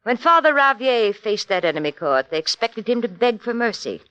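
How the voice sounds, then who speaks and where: A woman's voice: on the high side, very animated, normal speed, fairly bright tone, smooth, dry recording, rough recording. An actress playing an earnest, motherly woman prisoner, dramatic and heartfelt in 1940s radio drama style. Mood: tender, earnest. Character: earnest, motherly imprisoned woman consoling a young cellmate.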